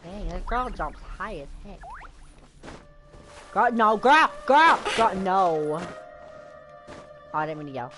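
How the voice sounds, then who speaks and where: A woman's voice: medium pitch, expressive, slow, pauses often, a bit bright. A woman giving chatty, slangy live gameplay commentary, often talking to the game. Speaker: game streamer. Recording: game music behind the voice. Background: video game music.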